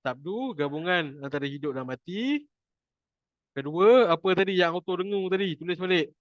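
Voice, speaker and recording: Malaysian male Quran teacher, chatty and jokey, slightly high voice, dry lo-fi recording. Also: moderate pace, no echo. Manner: chatty, jokey, repeats points for emphasis. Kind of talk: informal online class teaching.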